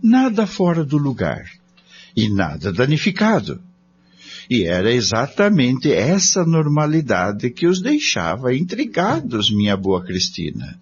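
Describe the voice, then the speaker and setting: A man's voice: medium pitch, wildly swooping pitch, unhurried, slight background noise. A man narrating a radio serial from a script in a dramatic storytelling style. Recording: dull recording with music.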